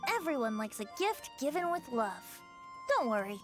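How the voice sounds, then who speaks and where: A whimsical young bard with a high, boyish lilt. The delivery is airy, playful and very animated, theatrical video game acting over faint music. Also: female voice, normal speed. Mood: cheerful.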